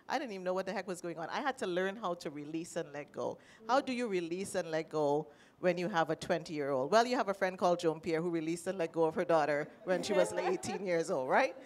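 A middle-aged woman with a Trinidadian accent, sharing a personal story at a panel with animated, emotional delivery, speaking into a handheld mic. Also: medium pitch. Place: panel stage in a large indoor room.

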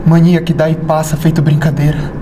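An actor playing a heartbroken man clinging to a lost love, in a loud, pleading theatrical monologue. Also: a little high, flat delivery, brisk, natural voice. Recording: noisy ambient background.

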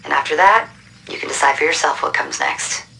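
A woman in her thirties playing a guarded resistance hacker, giving a brisk, matter-of-fact briefing in a game cutscene. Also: deep voice, huge pitch swings, music in the background, exaggerated voice, low-quality recording. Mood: urgent, businesslike. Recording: clear background noise.

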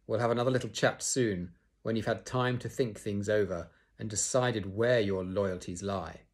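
A man's voice: lowish voice, fairly even intonation, normal speed, close to an everyday voice. A man voicing a cold, threatening male teacher with a clipped, icy delivery.

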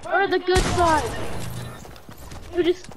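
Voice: very high voice, fairly even intonation, slow. A female player improvising a roleplay over game voice chat.

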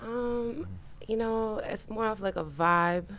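A woman's voice: mid-range voice, takes it slowly, fairly bright tone. Laid-back woman with a Persian-Canadian accent. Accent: Persian mixed with Canadian. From Iran. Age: 20s. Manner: laid-back, short answers. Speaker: guest. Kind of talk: casual interview chat. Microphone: headphones and desk mic.

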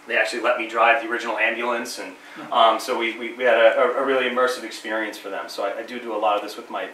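A man's voice: fairly low pitch, pushed and bright, a little hiss or hum. A middle-aged man with a cold and a slightly nasal voice gives a friendly, informal history talk to a small audience, with many fillers and repeated words. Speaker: living history presenter. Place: small library meeting room. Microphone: camera across the room. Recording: roomy, distant camera sound.

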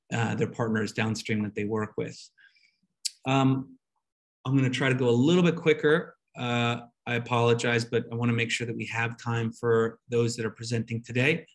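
A man presenting a webinar over a video call, explaining slides in a conversational, loosely phrased way. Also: medium pitch.

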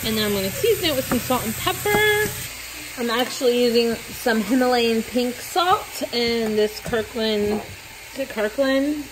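A woman's voice: fairly high pitch, lively intonation, a little slow, background noise. A woman in her thirties talking casually to her phone camera while cooking in her home kitchen, upbeat and chatty. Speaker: family vlogger. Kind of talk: casual cook-with-me vlog. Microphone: handheld phone camera at arm's length.

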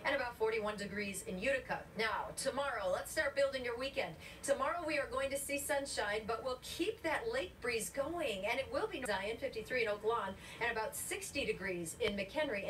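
A woman's voice: a little high, a bit bright. A middle-aged American woman presenting a live TV weather report in a chatty, conversational way. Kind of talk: live weather forecast and interview. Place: outdoors in a store parking lot. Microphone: handheld reporter mic, heard off a filmed TV screen. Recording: distant TV speaker re-recorded, noisy.

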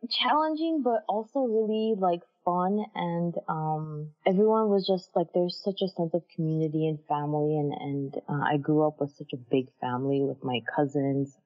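A woman in her thirties with a very low voice, chatting freely and filling her stories with little asides. She sounds a touch dull and lo-fi, as over a remote call. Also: normal speed, hardly any pauses. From Armenia. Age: 30s. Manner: chatty, warm storytelling with many fillers. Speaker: guest, character designer. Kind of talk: casual interview chat.

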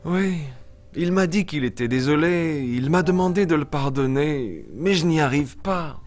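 A man playing a friendly young lion who tells stories to small children in an expressive, simple way on a kids' show. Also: on the high side, unhurried, natural voice. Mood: reminiscing. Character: friendly young lion storyteller, warm and eager. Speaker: storyteller. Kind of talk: children's show dialogue and storytelling. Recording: music bed under the voice.

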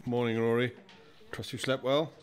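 A man's voice: mid-range voice, steady tone, normal speed, clear, resonant tone, natural voice. A man playing a portly magazine boss in an audio play, officious, blunt and fussy. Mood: brisk, awkward.

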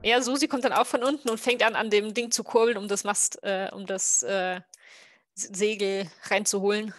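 A woman's voice: mid-range voice, fairly even intonation, normal speed, fairly bright tone, no room sound, studio quality. A woman casually playing a tabletop role-play game over a video call, improvising what her character does. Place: indoor room, video call.

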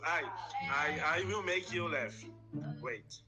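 A young Brazilian man with a Brazilian Portuguese accent, speaking halting English with hesitation. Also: fairly high pitch, lively intonation, music in the background.

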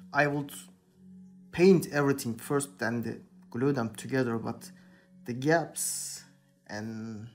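A young man with a Turkish accent talks casually at a workbench, stopping often to find his English words. His voice sits at an ordinary middle pitch, and faint music plays underneath. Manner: hesitant, searching for English words, many "you know". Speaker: hobby painter, channel host. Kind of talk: casual talk while painting. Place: indoor workbench.

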